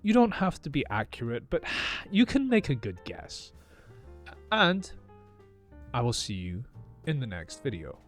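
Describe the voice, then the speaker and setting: A man with a mild Scottish accent, teaching English in a calm, careful, deliberate monologue. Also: medium pitch, faint music behind. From northeast Scotland. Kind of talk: slow, clear teaching monologue.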